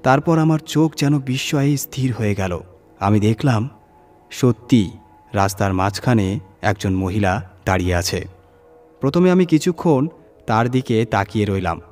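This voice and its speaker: A man's voice: lowish voice, a little mellow. A man reading a scripted first-person horror story as its narrator. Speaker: story reader. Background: background music.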